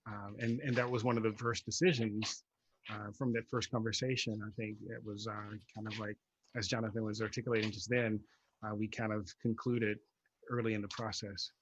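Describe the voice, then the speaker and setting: A man talking reflectively as a playwright on a panel, articulate but halting as he works through his thoughts. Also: on the low side, flat delivery, highs cut off, slight background noise.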